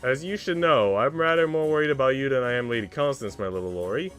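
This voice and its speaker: A man's voice: medium pitch, quick pace, fairly bright tone, lightly acted. A man voicing a teasing, affectionate maid from a visual novel, with playful, old-fashioned courtly phrasing. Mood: concerned, gentle. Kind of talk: visual novel character read aloud. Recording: music playing behind the voice.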